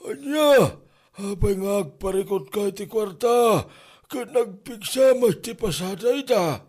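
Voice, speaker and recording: Slow, high-voiced older Ilocano man acted by a man. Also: expressive, a little mellow. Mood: curious, advising. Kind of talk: Ilocano comedy drama podcast acting.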